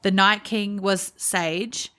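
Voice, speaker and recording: A woman's voice: mid-range voice, steady tone, takes it slowly, a bit bright, smooth. A woman co-hosting a book podcast, giving analytical and blunt takes in an informal, chatty way. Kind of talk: casual book discussion chat.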